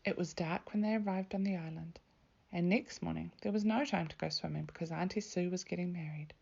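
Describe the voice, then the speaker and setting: A woman reading a children's storybook aloud as a teacher, with expressive narration. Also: on the low side, a little fast, vocal fry, lo-fi sound.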